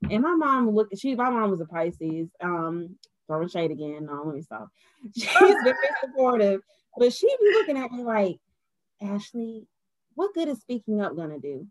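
A woman's voice: medium pitch, big pitch swings, moderate pace, rough recording. Earnest woman guest in casual chat.

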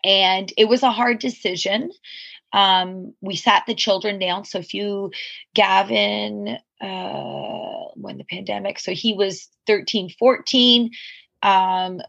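Woman, 40s, husky podcast guest, candid and reflective, wandering storyteller. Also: mid-range voice, a bit bright, highs cut off. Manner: reflective, rambling with asides and fillers.

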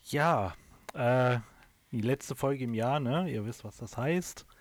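A man's voice: medium pitch, takes it slowly. A man hosting a casual podcast chat among friends, leading the talk with many hesitations and fillers. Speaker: podcast host.

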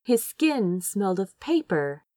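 A woman reading fiction aloud as an expressive narrator, with dramatic, deliberate phrasing and emphasis on key words. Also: mid-range voice, dry recording, clean studio sound. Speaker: fan fiction reader, narrator.

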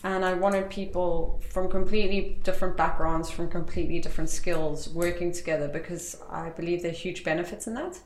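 Woman, from South Africa, interview guest, rambling with fillers, lowish voice, echoey room. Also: steady tone, fairly quick, few pauses, a little hiss or hum. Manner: chatty, rambling, many fillers and restarts. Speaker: interview guest, tech entrepreneur. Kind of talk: casual interview talk.

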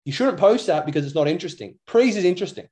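A man's voice: sits high, expressive, very fast, darkish tone. A young Australian man co-hosting a podcast in casual chat, talking in a rambling, matey, slangy way. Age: young adult. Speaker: podcast co-host.

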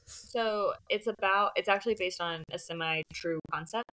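A woman's voice: on the low side, normal speed, a bit bright, poor audio. A woman discussing books casually as a co-host, explaining her points in a conversational way. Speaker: book podcast co-host.

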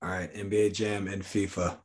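A man's voice: low-pitched, little pitch movement, fairly quick. A man hosting a casual sports podcast, chatting loosely with frequent laughs and fillers. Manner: laughs often, loose filler-heavy talk. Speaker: podcast host.

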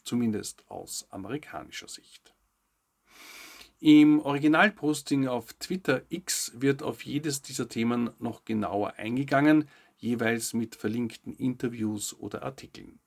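A man's voice: mid-range voice, a little slow, gravelly. A man hosting a news update, reading scripted narration with short commentary. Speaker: host of a weekly news update show.

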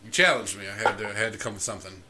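Sarcastic man with a bright voice. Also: lowish voice, very fast, slight background noise. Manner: sarcastic, profane, joking. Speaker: guest. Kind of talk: casual podcast banter.

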